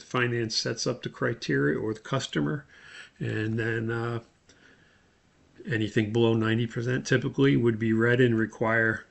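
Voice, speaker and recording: A man giving a methodical, step-by-step spoken tutorial as an instructor, with a creaky voice. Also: medium pitch. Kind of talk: spoken screen-share tutorial.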